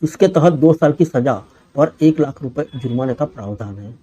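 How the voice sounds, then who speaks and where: A man's voice: mid-range voice, expressive, normal speed, mellow. A man reads a scripted news bulletin as the presenter.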